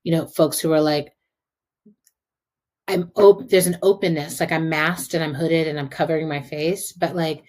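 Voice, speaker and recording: Low-voiced American woman podcast host. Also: fairly even intonation, moderate pace, a little mellow. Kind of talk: casual conversational interview.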